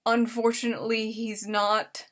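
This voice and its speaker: A woman giving casual, sarcastic reaction commentary, mocking and expressive, swearing freely. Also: fairly high pitch, measured pace, faint music behind. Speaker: online commentary host.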